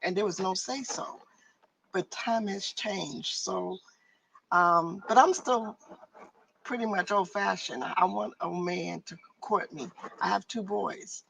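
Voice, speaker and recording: Gravelly middle-aged woman, far from mic. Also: mid-range voice, takes it slowly, fairly bright tone. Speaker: panelist. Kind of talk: church panel discussion. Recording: distant mic, faint background noise.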